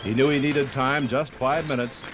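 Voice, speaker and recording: A man's voice: mid-range voice, steady tone, normal speed, background noise. A man giving solemn, dramatic scripted narration in a 1950s radio style. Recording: 1950s radio recording, music behind.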